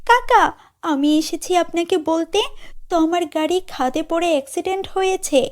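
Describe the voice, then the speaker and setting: A woman's voice: very high voice, moderate pace. A woman voicing a polite, earnest young social worker in a children's cartoon, with a principled manner. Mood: urgent.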